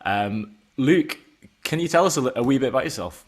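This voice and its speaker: A man's voice: mid-range voice, animated, a little fast, slightly rough. A man hosting an informal online presentation of an educational resource, speaking in an enthusiastic but hesitant, discursive way.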